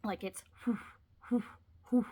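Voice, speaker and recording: A young adult woman vlogging from her couch at home, congested, rambling in casual chat. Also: measured pace. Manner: rambling, chatty, trailing off into quiet asides.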